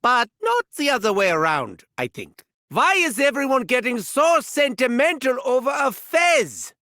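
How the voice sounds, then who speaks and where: An actor voicing an arrogant, unhinged German mad scientist in a video game, pompous, theatrical and gleefully sinister, with a German accent. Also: male voice, very high voice, big pitch swings, unhurried, pushed and bright, no room sound, studio quality, exaggerated voice. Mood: smug. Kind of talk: video game character voice lines.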